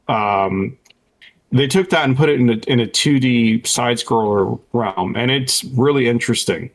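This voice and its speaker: Male podcast co-host, conversational, slightly halting delivery, everyday register. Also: medium pitch, rough recording. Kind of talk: casual chat about video games and films.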